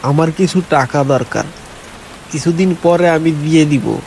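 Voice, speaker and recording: A man playing a worried, despairing village farmer and father in an animated folk tale, with a plaintive, lamenting manner. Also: a little high, unhurried, rough recording. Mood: pleading. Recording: faint hiss and rain noise.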